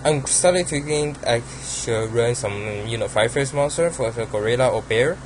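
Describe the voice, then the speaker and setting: A man's voice: mid-range voice, lively intonation, moderate pace, background noise. A young man with a Chinese accent, talking casually and hesitantly about his card game deck, with many ums and restarts. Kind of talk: casual deck profile monologue.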